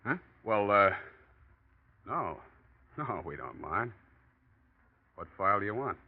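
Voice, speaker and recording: A man's voice: fairly low pitch, sing-song, slow pace, breathy edge, heavily acted. A man playing a scheming, nervy office manager in a 1940s radio noir, curt and hesitant by turns. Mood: uneasy. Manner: hesitant cover-ups, curt snapping. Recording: muffled old radio recording.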